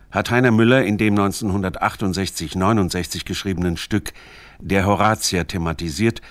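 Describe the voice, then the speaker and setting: Low-voiced man narrating in a dry studio. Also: dry recording. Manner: measured, formal. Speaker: narrator of a radio documentary. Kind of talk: scripted narration, literary essay.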